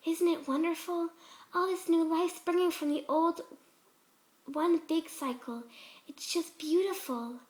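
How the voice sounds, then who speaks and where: A woman voicing a sweetly menacing female pegasus pony in a story reading, gentle and eagerly coaxing. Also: very high-pitched, fairly even intonation, measured pace, pitched above the performer's own voice. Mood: delighted, eager.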